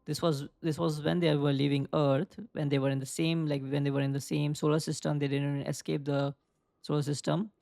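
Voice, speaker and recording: A man's voice: fairly high pitch, flat delivery, brisk, gravelly, darkish tone, dry recording, studio quality. A young man casually commenting on a video game, thinking aloud and rambling with lots of filler words. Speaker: gaming video creator. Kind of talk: casual let's play commentary.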